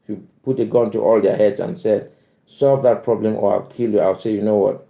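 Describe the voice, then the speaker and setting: A man's voice: on the low side, steady tone, a little fast, creaky, mellow. A middle-aged man with a Cameroonian accent, casually hosting a live chat and repeating phrases for emphasis. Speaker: live stream host. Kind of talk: casual live chat, reading out and answering comments. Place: bedroom at home. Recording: roomy indoor echo.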